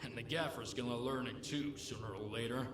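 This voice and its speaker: A man playing a world-weary, pragmatic bartender in a lawless zone, with gruff, slangy video game dialogue. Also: mid-range voice, steady tone, brisk, natural voice. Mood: resigned. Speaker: bartender character. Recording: roomy echo with noisy game ambience.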